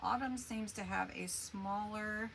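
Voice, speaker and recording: A woman's voice: medium pitch, steady tone, a little slow, vocal fry, a bit bright, slight background noise. A woman hosting a casual craft livestream, chatting along in a rambling way as she works and answers viewer comments.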